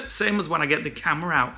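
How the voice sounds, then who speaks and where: A man co-hosting a vlog, talking casually to camera in a soft voice, happy to chat on and on. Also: fairly high pitch, brisk. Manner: soft-spoken but endlessly chatty. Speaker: vlog co-host.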